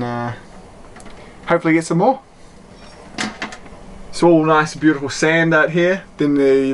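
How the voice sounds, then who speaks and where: A man in his thirties chats casually to camera with a medium-pitched voice. He is recorded a short way from the mic on a boat, with some noise behind him. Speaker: sailing vlogger. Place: small cabin and deck of a sailboat at sea. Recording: clear boat and outdoor background noise.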